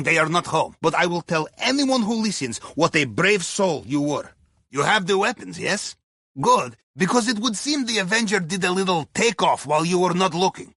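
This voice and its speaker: Bright, husky Russian mission handler. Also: male voice, high voice, no room sound, studio quality, full character voice. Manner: wry, theatrical, conspiratorial. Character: genial, wily Russian submarine captain's aide and smuggling accomplice. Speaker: mission handler over comms. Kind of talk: video game character dialogue.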